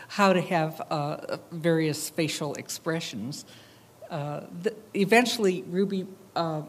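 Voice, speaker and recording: Woman, slightly low voice, artist's public presentation, reflective, halting delivery, frequent hesitations. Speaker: artist presenting her AI robot project. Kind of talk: public talk with visuals.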